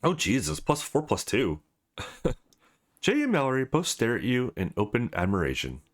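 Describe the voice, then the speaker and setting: A man hosting a let's play, reading game script aloud in an expressive, sing-song way and adding brief reactions. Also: mid-range voice, moderate pace. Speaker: let's play host reading visual novel dialogue aloud.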